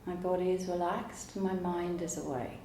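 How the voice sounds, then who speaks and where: Woman doing slow soothing guided relaxation, warm mellow tone, roomy sound w a bit of background noise. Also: on the low side. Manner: calm, soothing, unhurried with long pauses. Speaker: yoga nidra teacher.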